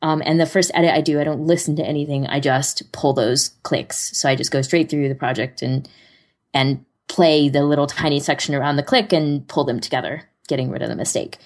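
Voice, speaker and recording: A woman with a notably low-pitched voice talks casually as a podcast co-host. She explains things step by step in an informal, conversational way, drifting into loose asides. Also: steady tone, fairly quick. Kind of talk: casual chat.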